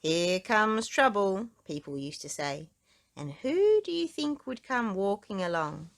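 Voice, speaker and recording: A woman reads a children's story aloud. Her pace is slow and her pitch moves widely from phrase to phrase. She leans on key words and stretches them for emphasis. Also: big pitch swings, vocal fry, darkish tone. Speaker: children's book reader. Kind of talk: expressive storybook narration.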